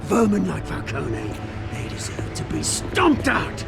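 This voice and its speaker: An actor playing a mocking, swaggering crime boss with a British accent in a video game. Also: male voice, high-pitched, wildly swooping pitch, unhurried, creaky, over music, heavily acted. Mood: mocking, taunting. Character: mocking, theatrical male crime boss taunting a vigilante. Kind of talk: video game character acting.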